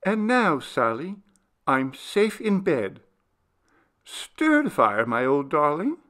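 A man voicing a genteel young mistress, cosy and playfully fearful, in an animated storybook reading. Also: fairly high pitch, slow, voice pushed up, exaggerated voice. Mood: cosy, eager.

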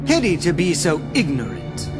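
A man's voice: a little high, huge pitch swings, moderate pace. An actor playing a pompous, easily outraged male villain scholar in a video game cutscene. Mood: scornful. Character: haughty, indignant adult male villain scholar of dark organization. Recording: dramatic music loudly underneath.

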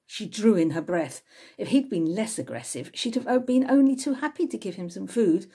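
A woman in her sixties reading a novel aloud in an expressive storytelling way, indoors at home. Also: mid-range voice, normal speed, breathy edge. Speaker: author reading from own novel. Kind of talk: scripted narration, audiobook-style reading. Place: small room at home with bookshelves, indoors.

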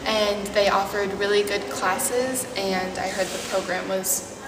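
Twenty-year-old student, easygoing, echoey room, mic far away, some noise. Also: female voice, mid-range voice, level tone, measured pace. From Houston, Texas.

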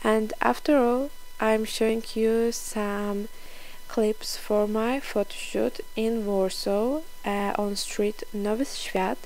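Slightly creaky young woman. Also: on the high side, steady tone, takes it slowly, a little mellow, rough recording. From Poland. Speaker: fitness vlogger. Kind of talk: scripted instructional voice-over.

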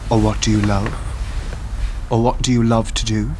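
A man's voice: fairly low pitch, little pitch movement, moderate pace, background noise, lightly acted. A man playing a wry, sceptical lecturer and author in a radio drama. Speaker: actor in a radio play. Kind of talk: radio drama acting, dialogue.

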